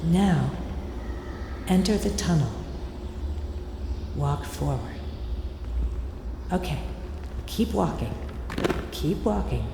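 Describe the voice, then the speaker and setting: A woman playing an eerie audio tour guide in an audio drama, giving deliberate instructions with hypnotic repetition. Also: low-pitched, animated, creaky, background noise, exaggerated voice. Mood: hushed, foreboding. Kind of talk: scripted audio drama narration. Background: ambient field recording and foley sounds.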